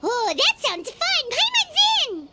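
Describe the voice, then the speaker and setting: A woman voicing a tiny, bubbly, childlike fairy girl in a cartoonish game style, with a squeaky voice. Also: huge pitch swings, slow pace, heavy background noise, exaggerated voice. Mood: excited. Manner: bubbly, talks of self in third person. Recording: background music under the voice.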